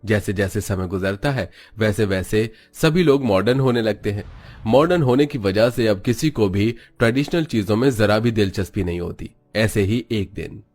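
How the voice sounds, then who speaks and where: A man reading scripted narration for an animated family story. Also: lowish voice, normal speed.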